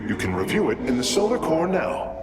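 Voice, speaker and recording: A man's voice: a little high, sing-song, fairly quick, very noisy. An actor playing an eager male alien engineer and scientist in a video game, precise and formal in his delivery. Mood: keen, businesslike. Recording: loud music behind the voice.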